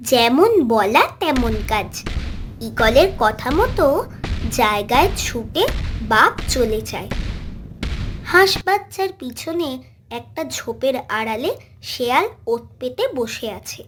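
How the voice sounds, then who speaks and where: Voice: medium pitch, sing-song, slow pace, over music. A storyteller giving scripted narration for a children's cartoon tale.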